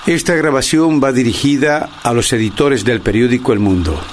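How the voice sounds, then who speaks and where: A man acting as a grave radio-play narrator delivers a formal testimony. He speaks deliberately and declaims in a mid-pitched voice. A faint noisy rumble sits behind him. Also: close to an everyday voice. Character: solemn male narrator recording his testimony about a strange case. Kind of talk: radio drama monologue. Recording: noisy recording with ambient rumble.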